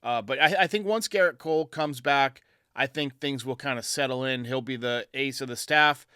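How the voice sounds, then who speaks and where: A man's voice: medium pitch, moderate pace, bright, forceful tone, clear, resonant tone, dry recording, clean studio sound. A man hosting a baseball fan show, giving his commentary in answer to callers. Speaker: sports show host.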